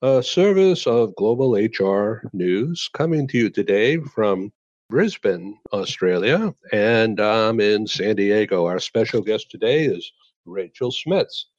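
A male talk show host speaks in a formal, measured way as he introduces his guest. The sound is very dry, with no room echo. It is also a bit dull, as if it came through a remote link. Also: lowish voice, lively intonation, a little mellow. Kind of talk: scripted introduction, interview questions. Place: San Diego.

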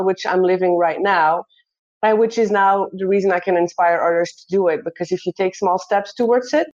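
A woman from Belgium talks about her work as a coach in a lively, emphatic way. Her voice sits at a middle pitch. The audio is a little dull and muffled, as on a remote call. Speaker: guest, life coach. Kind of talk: casual interview talk.

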